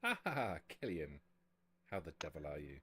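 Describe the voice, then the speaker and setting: A man's voice: a bass voice, wildly swooping pitch, normal speed, fairly bright tone, breathy edge, voice pushed down, full character voice. A man voicing a suave, jovial vampire with an urbane, arch manner, as in a tabletop game. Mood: suave greeting.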